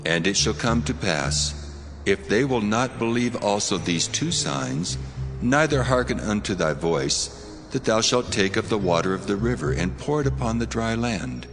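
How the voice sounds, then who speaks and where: Booming deep God voice, cavernous reverb. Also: male voice, animated. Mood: instructing, solemn. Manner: solemn, declamatory, deliberate. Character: God, commanding solemn male deity of scripture. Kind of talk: dramatized scripture audio drama. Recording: long cavernous reverb under prominent music. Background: music.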